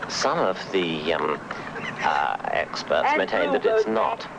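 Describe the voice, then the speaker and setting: A man's voice: sits low, huge pitch swings, takes it slowly, gravelly. A man commentating on croquet outdoors, speaking in a hesitant, plummy way. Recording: noisy outdoor broadcast recording.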